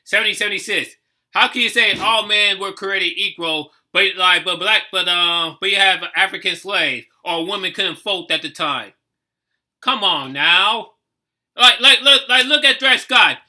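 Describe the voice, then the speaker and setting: An American man with a strikingly high, sharp-edged voice. He reacts off the cuff in casual talk, stopping and restarting often, and leans hard on his points with the odd swear word. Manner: halting, emphatic, swearing. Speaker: reaction video host. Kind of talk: unscripted reaction commentary, casual chat.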